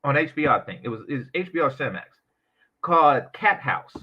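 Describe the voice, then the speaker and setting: A man hosting a reaction commentary, talking in an animated, sarcastic way with mocking asides. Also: medium pitch, fast talker, husky, highs cut off. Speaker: commentary channel host.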